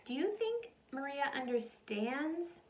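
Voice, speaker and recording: A woman reading a storybook aloud to children in an expressive, animated way. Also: high voice, slow pace, a little hiss or hum. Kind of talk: expressive storybook read-aloud with questions to listeners. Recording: roomy echo, distant mic.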